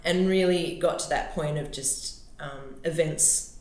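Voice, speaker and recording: A woman's voice: sits low, a little slow, a little mellow. Australian woman chatting in a roomy space. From Newcastle, Australia. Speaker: interview guest, small business owner. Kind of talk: casual conversational interview. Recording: roomy echo, noticeable background noise.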